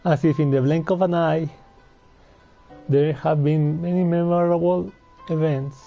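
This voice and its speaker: A man giving casual, halting gameplay commentary and reading on-screen text aloud. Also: on the high side, fairly even intonation, vocal fry, dull audio, soft background music. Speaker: video game streamer.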